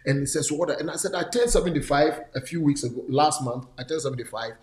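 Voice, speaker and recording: A man's voice: on the high side, fast talker, a little mellow, echoey room. A man in his sixties, a pastor hosting a talk show, who repeats words for emphasis and urges listeners on. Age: 60s. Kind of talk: conversational mentoring talk. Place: studio set, indoors.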